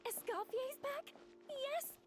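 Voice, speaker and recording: A woman's voice: very high-pitched, slow pace, over music. A woman playing an anxious, apologetic young female cook in a video game. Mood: excited.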